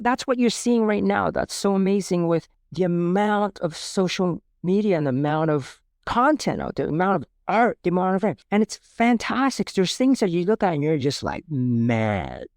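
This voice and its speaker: A man's voice: sits high, very animated, moderate pace, few pauses, darkish tone, dry recording, clean studio sound. A middle-aged man from Vietnam who grew up in Canada, telling stories casually and informally as a podcast guest, rambling and acting out quoted remarks. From born in Vietnam, immigrated to Canada at ten. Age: middle-aged or older. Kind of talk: casual, reflective storytelling interview.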